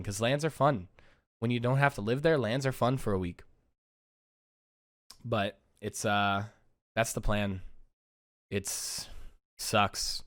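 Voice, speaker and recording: A young man talks to his viewers in a low, clean, smooth voice. His delivery is subdued and close to monotone, weighed down by sadness. The sound is dry and close, with no room around it. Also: dry recording. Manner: subdued, low-energy, self-described monotone. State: sad, downcast. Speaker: YouTuber and pro gamer. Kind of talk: casual vlog talk to camera.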